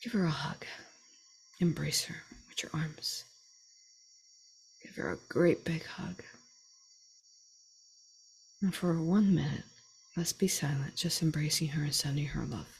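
A woman leading a slow guided meditation in a soft, breathy voice that often drops to a whisper, with long pauses. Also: sits low, fairly even intonation, a little hiss or hum, low-quality recording. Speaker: guided meditation leader and channeler.

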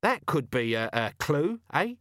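A man's voice: a little high, lively intonation, measured pace, fairly bright tone, no room sound, clean studio sound, voice pushed up. A man voicing a scruffy, naive police corporal in an audiobook, colloquial and always questioning. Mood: hesitant, hopeful. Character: scruffy, artless, sceptical low-ranking male police corporal.

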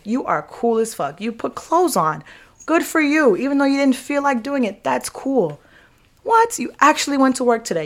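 Young american woman podcaster, casual pep talk, blunt n slangy, swears, hammers phrases twice. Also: medium pitch. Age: young adult. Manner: candid, emphatic, slangy and profane; repeats phrases for emphasis. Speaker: podcast host. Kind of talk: casual motivational monologue.

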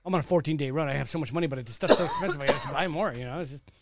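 A man co-hosting a podcast who laughs a lot and breaks into mock commercial pitches. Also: very fast, muffled recording, very noisy. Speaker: podcast co-host. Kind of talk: comedic banter with mock ad reads.

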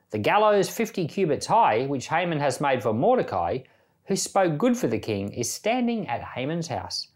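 A middle-aged man who reads a Bible passage aloud, then talks it through in a relaxed way, thinking aloud with small fillers. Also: on the high side. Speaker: church Bible reader. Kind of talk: scripture reading and informal commentary.

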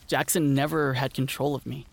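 A man's voice: medium pitch, little pitch movement, normal speed, creaky, no room sound. An actor playing a hurt but stoic, plain-spoken young countryman in an audio drama, in an understated way. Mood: pensive.

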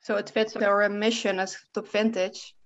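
A woman's voice: medium pitch, flat delivery, unhurried, highs cut off, a little hiss or hum. A woman hosting a casual podcast chat, friendly, with some fillers and hesitations. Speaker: podcast host.

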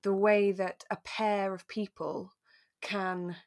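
A woman in relaxed podcast conversation, musing and thinking aloud as a co-host discussing a drama. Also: mid-range voice, takes it slowly, no room sound.

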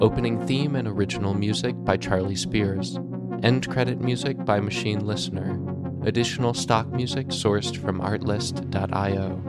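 A man reading scripted end credits as an announcer. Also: fairly low pitch. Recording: loud music behind the voice.

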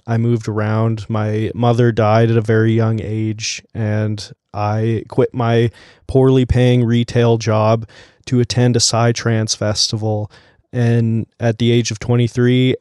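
A man playing a tabletop game, giving a deadpan first-person introduction of his character. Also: medium pitch, no echo, clean studio sound. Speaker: player.

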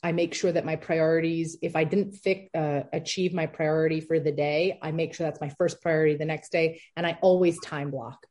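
Chatty thirties businesswoman, a bit low. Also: moderate pace, hardly any pauses. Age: 30s. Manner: chatty, lively, laughs easily, many fillers. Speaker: entrepreneur guest. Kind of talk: casual interview answers.

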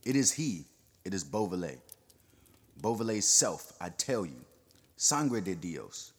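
A man reading an audiobook, voicing a frantic, vengeful man who shouts his words out in a rush. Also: low-pitched, moderate pace. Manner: shouting, words tumbling over each other.

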